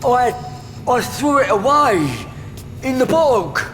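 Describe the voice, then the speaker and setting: An actor playing a meek, deformed, childlike son and obedient killer in an audio drama, halting and submissive. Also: male voice, very high voice, animated, fairly bright tone, faint music behind, heavily acted. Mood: sheepish. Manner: halting, submissive, slow short answers. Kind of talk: full-cast audio drama acting. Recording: long reverb, faint noise.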